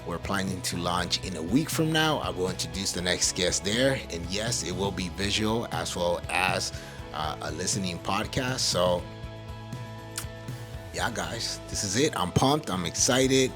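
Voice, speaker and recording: A man's voice: deep voice, animated, a little slow, music in the background. A man from El Salvador hosting a podcast, speaking casually and motivationally in an earnest, emphatic way. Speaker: podcast host.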